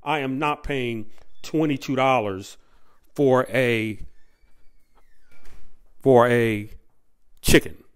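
A man hosting a video, giving unscripted commentary in a halting way, repeating and stressing his points. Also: mid-range voice, clear, resonant tone. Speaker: video host.

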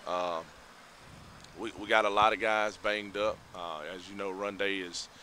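Low-voiced coach. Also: male voice, flat delivery, sharp tone, a little hiss or hum. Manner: measured, reflective, many fillers. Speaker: head football coach. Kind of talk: postgame interview. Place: outdoors by a school building at night. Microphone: headset mic.

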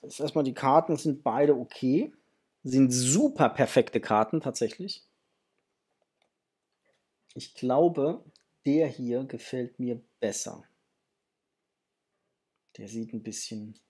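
A middle-aged man with a husky voice, chatting casually and enthusiastically to viewers as he hosts an unboxing, sometimes muttering to himself. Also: fairly high pitch, dark tone. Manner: enthusiastic, exclamatory, muttering to himself while handling things. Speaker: host of a toy unboxing channel. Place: small indoor hobby room.

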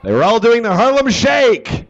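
A man on a small stage throwing loud comic interjections into a live reading, away from the microphone. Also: extremely high pitch, big pitch swings, normal speed, lo-fi sound. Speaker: co-performer interjecting.

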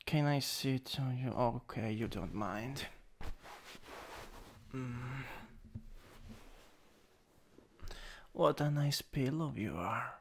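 ASMR roleplay: male performer as teasing, possessive big stepbrother, Italian accent, very drawn-out delivery, gravelly fry. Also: medium pitch. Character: possessive, teasing, dominant older stepbrother back from military.

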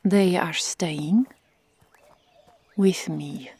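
A woman playing a stoic, stern Amazon warrior in an ASMR roleplay, hushed and curt, often whispering. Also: lowish voice, very slow, slightly rough, dry recording, exaggerated voice. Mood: stern, guarded. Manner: curt, formal, restrained.

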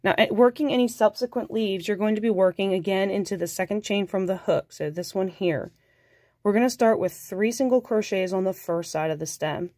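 A woman's voice: mid-range voice, brisk, no room sound, clean studio sound. Nasal woman with a cold teaching crochet. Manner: calm, patient, counts stitches aloud. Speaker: crochet instructor. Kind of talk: step-by-step craft tutorial.

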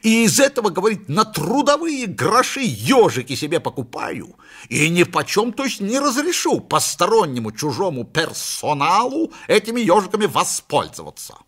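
A man voicing a big, nervous, aggrieved husband in a comic story reading, loud and emphatic. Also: sits high, sing-song, moderate pace, slightly rough, pitched above the performer's own voice, exaggerated voice. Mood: indignant. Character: burly, nervous, big-bellied shopkeeper husband, aggrieved and indignant.

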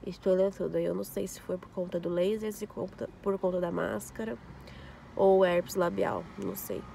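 A young woman vlogging casually to her phone camera, congested with a flu and a scratchy throat, explaining her skin recovery slowly. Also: fairly low pitch, gravelly, dark tone. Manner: talks slowly through skin recovery, showing details. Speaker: beauty vlogger. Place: inside a parked car, later a home sofa. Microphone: phone camera at arm's length.

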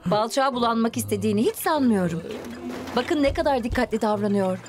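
High-pitched woman narrating a children's cartoon. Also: animated, brisk. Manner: exclamatory, addresses characters and young viewers directly. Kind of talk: expressive children's story narration. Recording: loud music behind the voice. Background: loud music.

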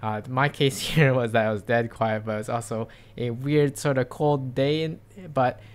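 A young man talks his way along a bike trail, rambling and upbeat, full of ums and restarts. His voice is smooth and clear, in a middle pitch, caught outdoors by a camera on his handlebars. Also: fairly even intonation, normal speed. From Seattle area. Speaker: cycling vlogger. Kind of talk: casual narration while riding. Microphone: camera mounted on bike handlebars.

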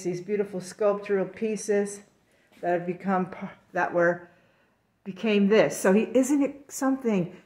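A woman's voice: medium pitch, a little mellow. A woman artist giving a casual, enthusiastic walkthrough of a gallery show, filmed on a handheld phone. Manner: chatty, enthusiastic, unhurried. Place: gallery in an old brick factory building.

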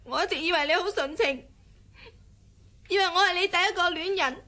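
Radio drama wife: high girlish voice, Hong Kong Cantonese, jealous, sulky, coquettish. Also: brisk, bright, forceful tone. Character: jealous young wife, pouty, coquettish and childlike.

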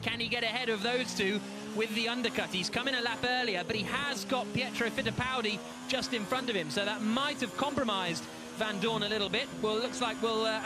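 A man giving live motorsport commentary as a race commentator. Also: high-pitched. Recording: loud engine noise behind voice. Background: racing car engines.

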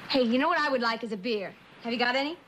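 A woman's voice: a little high, rapid-fire, fairly bright tone, close to an everyday voice. A young American woman playing a brash, flippant, defensive eighteen-year-old orphan girl in a vintage suspense drama, slangy and wisecracking. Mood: cheeky. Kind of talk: 1960s television suspense drama acting. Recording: noisy vintage TV drama soundtrack.

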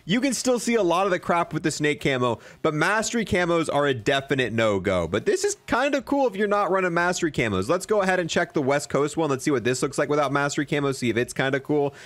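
Young man, higher voice, lively swooping intonation, excitable gamer slang, casual review commentary. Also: fast, few pauses, dry recording. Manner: excitable, slangy bro-and-dude talk. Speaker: gaming video creator.

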